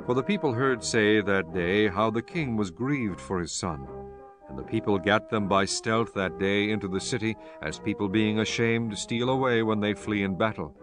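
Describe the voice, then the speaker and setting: A man reads scripture as a solemn narrator. His voice is fairly low and the delivery measured and scripted. Soft music runs faintly underneath.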